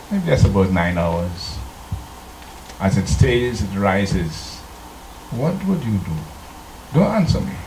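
An older man from Trinidad, a pastor preaching a slow, deliberate sermon into a handheld microphone in a church, now and then singing hymn lines. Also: mid-range voice, a little hiss or hum. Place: church sanctuary. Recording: echoey church room.

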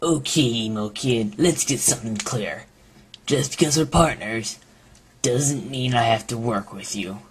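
A man voicing sarcastic, bickering schoolboys in an amateur animated comedy, with a slightly husky voice. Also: mid-range voice, a little slow, slight background noise, close to an everyday voice. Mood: irritated.

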